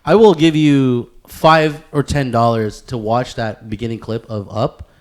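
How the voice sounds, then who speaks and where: A man hosts a casual podcast at a middling pitch. He rambles through his thoughts in informal slang and swears freely as he goes. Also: a little slow. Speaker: podcast host.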